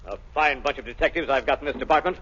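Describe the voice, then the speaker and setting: An actor playing a gruff, sarcastic male police commissioner in a 1930s radio drama. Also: medium pitch, racing, a bit bright. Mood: scornful anger. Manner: barking, sarcastic. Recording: dull 1930s radio recording.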